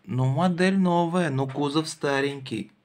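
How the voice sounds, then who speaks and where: A man's voice: fairly high pitch, measured pace. A man in casual, playful chat with a child, encouraging and inquisitive, using simple phrases. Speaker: adult playing with and questioning a child in a toy car show. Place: indoors at a wooden table. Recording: noisy home recording with clicking toys.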